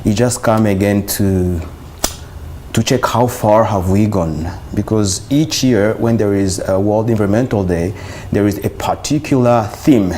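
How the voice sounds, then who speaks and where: Man guest, low and mellow, lengthy. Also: a little slow, slight background noise. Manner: adds points at length, hesitant. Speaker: panel guest. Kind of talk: panel discussion answer.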